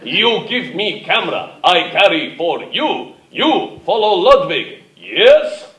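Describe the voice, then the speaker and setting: A bossy foreigner in a comic radio play, exclaiming in halting broken English. His high voice swoops around unhurriedly, picked up from a distance in a live theatre. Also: sing-song, fairly bright tone, exaggerated voice. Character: foreign man speaking broken English, bossy, fond of Poppy. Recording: roomy, distant, noisy live theatre recording.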